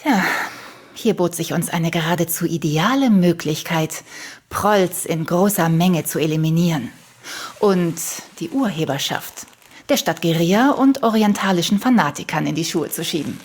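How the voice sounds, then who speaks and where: An actress playing a cold, haughty conspirator in a radio drama, with a condescending, cool manner. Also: female voice, moderate pace. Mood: smug, cold. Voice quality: husky, low. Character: cold, smug female conspirator and killer, haughtily superior.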